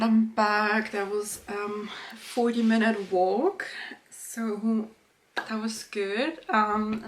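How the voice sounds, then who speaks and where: A woman in her late twenties from Switzerland, chatting casually in a day-in-my-life vlog at home, with fillers and small restarts. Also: a little high. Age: 20s. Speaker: vlogger. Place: home kitchen.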